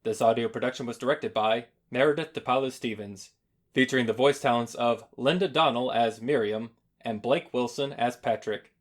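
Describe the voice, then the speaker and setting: Mid-pitched male announcer. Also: moderate pace. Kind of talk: scripted closing credits announcement.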